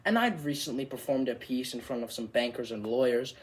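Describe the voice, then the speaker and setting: A man performing a monologue as an animated, exasperated Irish comedian, telling a story with emphatic, theatrical delivery. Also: medium pitch, brisk, a little hiss or hum, close to an everyday voice.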